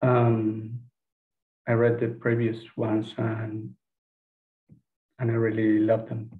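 Slow, flat Argentine man on headset. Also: lowish voice. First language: Spanish. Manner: halting, careful non-native English. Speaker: audience member making a comment. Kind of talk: careful prepared remarks. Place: indoor room on a video call. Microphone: headset boom microphone. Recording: narrow phone-like video call audio.

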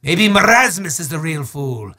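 Evil wizard for a game: high, piercing, forceful delivery, hammy and grandiose, maniacal villain with chatty asides. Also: male voice, very animated, heavily acted. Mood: chatty, sheepish. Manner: grandiose, theatrical, booming declarations dropping into fast asides. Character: bombastic, maniacal, hard-up male evil wizard. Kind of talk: theatrical video game villain voice lines.

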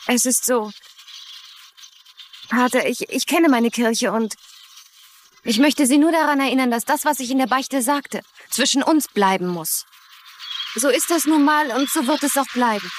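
An anxious, guarded young woman in a dubbed TV drama. Her voice is very high and she sounds tense and defensive. Faint noise sits behind her. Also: unhurried, long pauses. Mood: anguished, firm. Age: young adult. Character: anxious, guarded young daughter hiding a secret. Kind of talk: dubbed TV drama dialogue in German.

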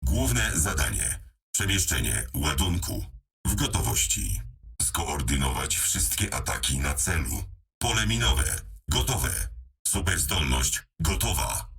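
A man playing a robotic battle mech computer that announces military status in clipped, deliberate phrases through machine-like processing. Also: rumbling low, sing-song, vocal fry, fairly bright tone, slightly rough, far from the mic, full character voice. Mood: coolly commanding. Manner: terse, deliberate machine-like delivery.

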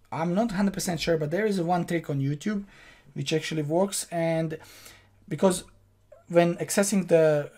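A man's voice: a little high, darkish tone. A young man from Croatia with a Croatian accent, walking through a screen-share tutorial in a casual, filler-heavy way from his home office. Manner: casual, many fillers and restarts. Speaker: online course instructor.

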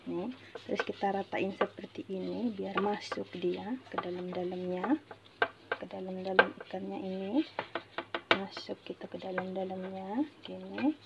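Casting: woman, home cook vlogger, mid-pitched, chatty and unscripted, phone-recorded in a noisy kitchen with sizzling. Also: little pitch movement, measured pace, creaky, highs cut off. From Indonesia. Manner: chatty, repetitive, peppered with English words. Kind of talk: casual unscripted cooking tutorial. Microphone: handheld phone behind the camera. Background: frying sizzle, stir noises.

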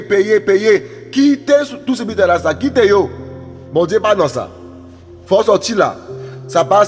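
A man's voice: sits high, long reverb tail. A man preaching an impassioned sermon, repeating phrases and calling out hallelujahs. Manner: repetitive, exhorting, calls out "Alléluia". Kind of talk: impassioned street-style sermon.

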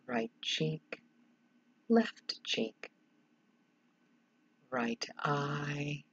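A woman leading a guided meditation as a relaxation instructor, calm and soothing, in short measured phrases with long pauses and a husky, breathy voice. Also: low-pitched. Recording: dull, roomy, echoing sound.

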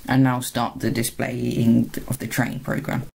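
Mellow dark voice, medium pitch, informal tutorial narration, halting delivery, hobbyist presenter. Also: little pitch movement, creaky, slightly rough. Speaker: video host, hobbyist presenter.

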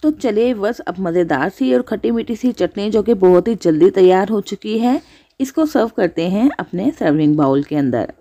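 A woman's voice: medium pitch, lively intonation, normal speed, warm tone. A woman hosting a cooking channel, narrating a recipe step by step as a voice-over.

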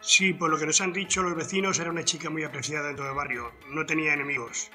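Man acting a quick, piercing-voiced police officer. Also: fairly high pitch, steady tone, pushed and bright, faint music behind. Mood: sober, factual. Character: male police officer briefing his inspector. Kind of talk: dramatised crime audiobook dialogue.

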